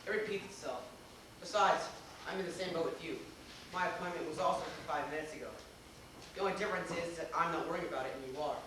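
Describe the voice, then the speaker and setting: Man playing a high-pitched, glib, teasing gentleman. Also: quick pace. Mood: smooth, amused. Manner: glib, wry, theatrically formal. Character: unflappable, teasing, worldly know-it-all gentleman, drily amused. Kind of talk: amateur stage acting in a comic one-act play. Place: small theater stage, recorded from the audience. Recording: echoey theater, distant mic, noticeable noise.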